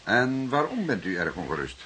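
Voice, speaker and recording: Seasoned dutch detective, low deliberate voice, fatherly, radio drama, noisy clicky recording. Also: big pitch swings, bright, forceful tone. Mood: probing. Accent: Dutch, from the Netherlands. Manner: deliberate, repeats others' words questioningly. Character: seasoned, fatherly, ponderous male police detective.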